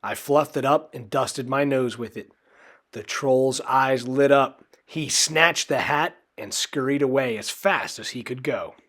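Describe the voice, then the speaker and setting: Bright-voiced man reading a children's story. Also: mid-range voice, a little slow. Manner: expressive, dramatic delivery with acted character voices. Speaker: storybook reader. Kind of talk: animated children's story read-aloud.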